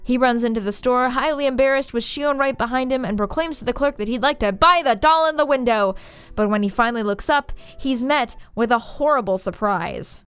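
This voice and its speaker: A young woman narrates a story recap as a video essayist. Her voice sits a bit high and her delivery is sardonic and informal, over quiet background music. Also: brisk, clean tone. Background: faint music.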